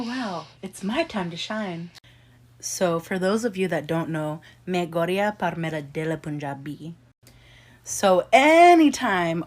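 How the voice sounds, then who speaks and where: A woman with a lowish voice tells a story playfully. She sounds self-assured and at ease. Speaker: interviewee. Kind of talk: casual storytelling.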